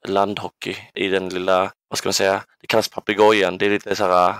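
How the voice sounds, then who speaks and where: A man's voice: sits low, flat delivery, fairly bright tone, clear, resonant tone, no echo, clean studio sound. A man in his twenties from southern Sweden, reminiscing casually as an interview guest in a hesitant, thoughtful way. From Ängelholm, southern Sweden. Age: 20s. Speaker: podcast guest, basketball player.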